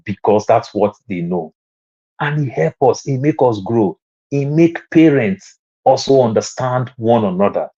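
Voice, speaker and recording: A man's voice: lively intonation, unhurried, husky. A man from Lagos with a Nigerian accent, speaking as a panelist in an online discussion and telling stories from his childhood, his voice rising when he drives a point home. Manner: storytelling, climbs higher and louder when making a point. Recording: dull lo-fi online audio.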